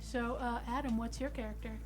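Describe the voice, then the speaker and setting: A woman's voice: fairly high pitch, steady tone, brisk. A woman playing a tabletop game, talking casually and swearing freely as she explains things. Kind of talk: casual improvised game chat.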